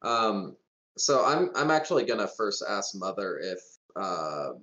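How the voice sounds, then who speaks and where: Deep voiced guy playing a tabletop game, casual, echoey room and muffled audio. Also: big pitch swings, measured pace, a bit bright. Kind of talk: casual chat.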